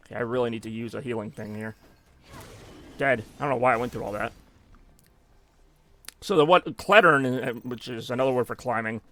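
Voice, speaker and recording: A man's voice: medium pitch, brisk, long pauses, sharp tone. A man giving rambling, self-mocking live gameplay commentary as a streamer, now and then dropping in German phrases. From United States. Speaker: gaming streamer.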